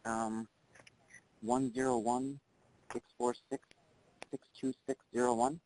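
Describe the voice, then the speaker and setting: A man's voice: mid-range voice, little pitch movement, slow, a little mellow, lightly acted. A man playing a middle-aged dentist with an American accent, giving a hesitant, matter-of-fact witness report in a simulated phone call. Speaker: caller reporting an incident.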